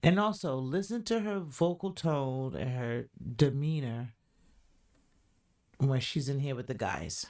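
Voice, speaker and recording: Deep voiced lady podcast host, chatty reaction talk, keeps hedging her takes. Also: expressive, a little slow, a little mellow. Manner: chatty, hedging with "allegedly" and "in my opinion". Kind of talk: casual reaction commentary.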